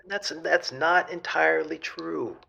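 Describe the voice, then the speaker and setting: A sheepish gamer guy, voiced by a man calling into a comedy advice show, gravelly and halting. His voice comes through a dull, lo-fi line. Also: on the high side, pushed and bright, exaggerated voice. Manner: hesitant, halting. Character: sheepish male gamer with a girlfriend ultimatum. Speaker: caller.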